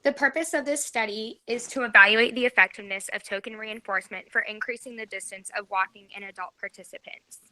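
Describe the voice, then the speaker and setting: Young woman, very bright tone, dry close sound, reading a class presentation. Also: a little high, fairly quick, hardly any pauses, no echo, rough recording. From Georgia, USA. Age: 20s. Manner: reads from a script, careful and even. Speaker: graduate student presenter.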